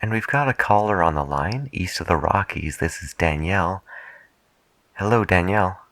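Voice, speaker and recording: A man speaking softly as a late-night radio DJ in an ASMR style, with a wry, melancholy, musing manner. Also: sits low, lively intonation, moderate pace, no room sound. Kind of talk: soft-spoken ASMR radio DJ patter.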